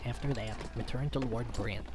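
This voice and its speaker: A man's voice: medium pitch, fairly even intonation, normal speed, close to an everyday voice. A man acts out a wounded military commander in a visual novel. He is urgent and commanding, snapping out orders with desperation. Faint music plays underneath. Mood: stern.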